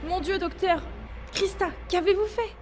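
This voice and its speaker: A woman's voice: very high voice, fairly even intonation, measured pace, a little mellow, slight background noise. A young woman playing an urgent, authoritative spaceship crew member in a science-fiction audio drama. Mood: alarmed. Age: young adult. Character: commanding female crew member trying to restore order.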